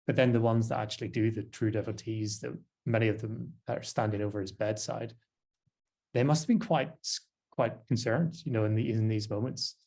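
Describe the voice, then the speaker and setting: Lower-voiced man hosting a podcast. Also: steady tone, a little fast, vocal fry, darkish tone. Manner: hesitant, filler-heavy, leading the discussion. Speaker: podcast host. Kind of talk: casual discussion.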